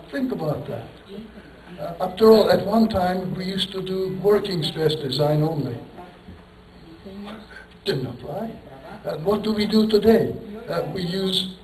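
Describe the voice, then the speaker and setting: A man lectures to a conference audience, thinking aloud, apologizing for rambling and tossing out rhetorical questions. His voice sits fairly high for a man. It carries through a reverberant hall from some distance off the mic. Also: darkish tone. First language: Turkish. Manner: rambling, self-deprecating, poses rhetorical questions, chuckles. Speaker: engineering professor giving a lecture. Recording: echoey hall, voice picked up from a distance.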